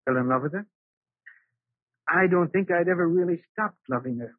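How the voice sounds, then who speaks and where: An actor playing a regretful, lovesick husband in an old radio drama, earnest and pleading. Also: male voice, fairly high pitch, moderate pace, dry recording, lightly acted. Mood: reflective. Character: lovesick, regretful, unhappily married man recounting his past. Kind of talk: 1940s radio drama acting. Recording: muffled, remastered old radio recording.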